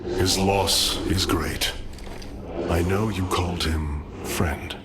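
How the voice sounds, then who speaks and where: An actor playing a noble, solemn alien warrior leader in a video game, with formal, stately and grave speech. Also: male voice, low voice, fairly even intonation, slow, very noisy. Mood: mournful. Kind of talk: dramatic game cinematic acting. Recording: loud cinematic music behind.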